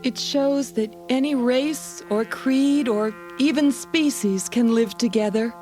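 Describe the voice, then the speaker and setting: Gentle female resistance fighter, played by a woman, earnest, fairly high voice, storybook drama with music. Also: takes it slowly. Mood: earnest, tender. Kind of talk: dramatised storybook audio acting. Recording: music behind the voice.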